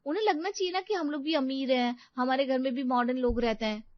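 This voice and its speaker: A woman's voice: sits high, fast talker, dry recording, close to an everyday voice. A woman voicing a chatty, ambitious young bride who vlogs to camera in a cartoon story. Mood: persuasive. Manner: bubbly, talking to camera. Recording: dull dry recording.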